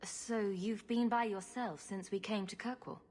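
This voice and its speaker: An actress playing a wry, confident female adventurer in a video game, brisk and breezy. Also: mid-range voice, fairly even intonation, darkish tone, lightly acted. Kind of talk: video game character acting.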